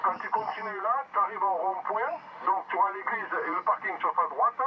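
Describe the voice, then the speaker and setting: A man's voice: sits high, normal speed. A middle-aged man chatting casually and helpfully over two-way radio as an amateur operator. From France. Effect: two-way radio transmission. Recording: tinny narrow-band CB radio, clear static noise.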